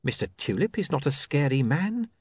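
A man voicing a smooth, calmly menacing male criminal in a storybook reading. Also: on the high side, normal speed, voice pushed up. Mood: coaxing. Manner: calm reasoning menace.

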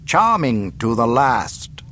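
A man's voice: medium pitch, sing-song, takes it slowly, bright, forceful tone, slight background noise, voice pushed up, exaggerated voice. Man acting a smug, cruel imperial governor, swooping delivery. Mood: smug, mocking. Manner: pompous, mockingly courteous, precise.

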